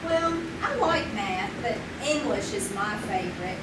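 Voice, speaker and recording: A woman's voice: mid-range voice, expressive, measured pace, natural voice. An actress playing an eager, gushing teenage girl in love in a live old-time radio play, recorded from a distance on a theater stage. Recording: roomy live theater recording with loud noise.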